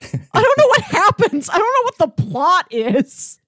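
A woman with a high voice and very animated intonation chats casually as a podcast co-host. The sound is close and dry, and her tone is conversational throughout. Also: measured pace, no room sound. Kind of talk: casual chat about films.

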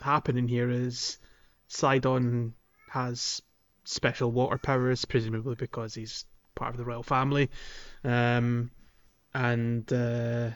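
A man's voice: mid-range voice, muffled recording. A man co-hosting a podcast, chatting casually about a video game. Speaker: podcast co-host.

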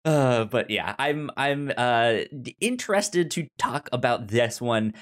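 A man co-hosting a casual film podcast, speaking haltingly and often stuttering over repeated words. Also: medium pitch, expressive. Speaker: film review podcast co-host. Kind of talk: casual chat.

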